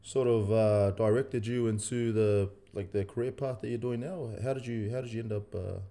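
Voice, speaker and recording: A man's voice: on the low side, fairly even intonation, normal speed, mellow. A young man hosting a casual chat, hyped and full of slang. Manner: hyped, slangy, repeats "bro". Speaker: host.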